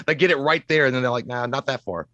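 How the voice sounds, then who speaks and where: A man's voice: mid-range voice, fast, a bit bright, no room sound, dull audio. A man co-hosting a movie talk livestream in crude, joking casual chat, swearing freely and riffing with little acted-out quotes.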